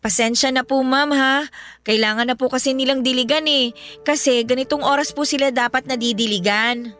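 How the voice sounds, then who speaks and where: An actress playing a meek, naive young housemaid in a Filipino radio drama, with a polite, plaintive manner and a Filipino accent. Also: female voice, high voice, fairly quick, fairly bright tone. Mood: polite, pleading. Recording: background music under voice.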